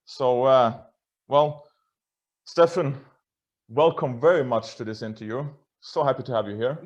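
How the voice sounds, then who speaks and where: A man's voice: mid-range voice, measured pace, lo-fi sound. A Norwegian man hosts a casual interview over a video call, speaking English as his third language. He talks in a chatty, self-deprecating way and often restarts his sentences. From Norway. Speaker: host.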